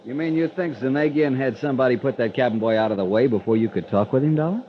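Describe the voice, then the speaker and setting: A man's voice: mid-range voice, fast talker, lightly acted. Man doing a worried straight-talking guy helping a detective, old radio drama, muffled n slightly noisy. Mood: concerned. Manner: questioning, straightforward, informal. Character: concerned, plain-spoken male ally of a detective. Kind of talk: 1950s radio drama dialogue. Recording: dull, faintly noisy vintage radio recording.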